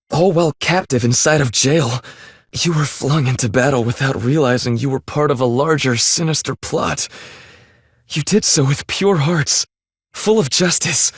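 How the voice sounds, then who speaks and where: An earnest, formal game hero, clean recording. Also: male voice, medium pitch, husky, dry recording, clean studio sound. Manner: formal, measured, solemn phrasing. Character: earnest, formal, remorseful man, a devoted lost husband. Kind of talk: dramatic English video game voice acting.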